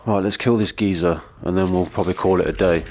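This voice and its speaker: Brief: British male gamer, slightly low voice, stuffy from a cold, eager and chatty. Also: steady tone, quick pace, a little mellow. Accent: British English. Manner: enthusiastic, chatty, gushing over detail. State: congested from a cold. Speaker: gaming video creator. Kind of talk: gameplay commentary.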